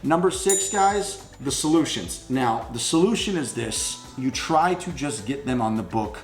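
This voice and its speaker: A man giving an informal sales coaching talk, blunt and emphatic, slipping into sample phone pitches. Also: expressive, unhurried. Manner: blunt, emphatic, salesman-like, addresses listeners as guys. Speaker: instructor teaching phone sales for junk removal. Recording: roomy echo with music underneath.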